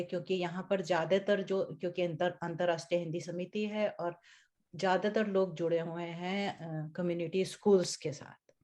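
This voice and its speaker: Earnest low-voiced woman moderator. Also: a little mellow. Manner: earnest, urging, asks questions at length. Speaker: presenter and moderator. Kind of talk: moderating an online panel discussion.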